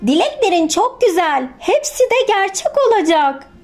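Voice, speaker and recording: A woman voicing a kind, patient female butterfly in a children's storybook, curious and gently instructive. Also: very high voice, sing-song, moderate pace, faint music behind, voice pushed up. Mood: reassuring.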